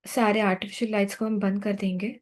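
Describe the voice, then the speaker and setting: A woman with an Indian accent guides a sleep meditation in a calm, soothing, unhurried manner, leaving long pauses between instructions. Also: mid-range voice.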